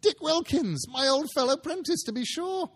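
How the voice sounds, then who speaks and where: A man's voice: very high-pitched, animated, normal speed, a bit bright, pitched above the performer's own voice, heavily acted. A man voicing a wistful old man, earnest and exclaiming as he is moved by his past, in a storybook reading. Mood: delighted wonder.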